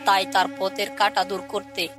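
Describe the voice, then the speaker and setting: An actor performs the ghost of a murdered boy in a horror cartoon. The voice is angry and bitter, hurling accusations with a thirst for revenge. Music plays clearly behind it. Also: mid-range voice, little pitch movement, normal speed, close to an everyday voice. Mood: bitter, recounting. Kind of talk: dramatic voice acting in an animated horror story.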